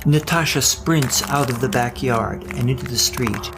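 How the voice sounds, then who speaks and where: A man's voice: medium pitch, normal speed, creaky. A man reading scripted narration for an audio drama, with a wry storyteller's manner.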